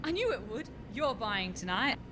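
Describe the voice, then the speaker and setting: Casting: actress as a higher-voiced young woman scientist, keen and exasperated, radio farce, rumbly noisy home recording. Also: very animated, normal speed. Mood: eager, teasing. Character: keen, exasperated young female physics researcher. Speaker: actor in a radio play. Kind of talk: radio play farce acting.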